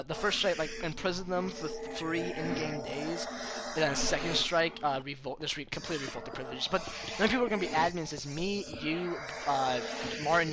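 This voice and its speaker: A young man talks in a rush of excitement, stuttering and repeating himself as he explains his game server. His voice sits a little high, and a loud wash of noise runs under the whole recording. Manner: fast, excitable, frequent stutters and fillers. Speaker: server host. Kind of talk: casual chat while gaming.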